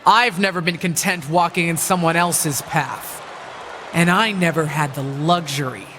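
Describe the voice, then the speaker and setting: A woman's voice: fairly low pitch, unhurried, background noise, close to an everyday voice. A woman playing a bitter, scheming older wrestling legend in a video game, taunting and condescending like a promo. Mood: condescending, proud. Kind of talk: dramatic wrestling promo acting.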